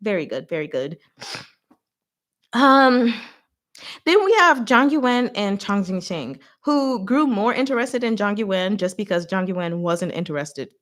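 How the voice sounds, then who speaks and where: Female livestream host, mid-pitched, casual and expressive, chatty fan commentary with informal slang. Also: unhurried. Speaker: host of a live book recap and discussion.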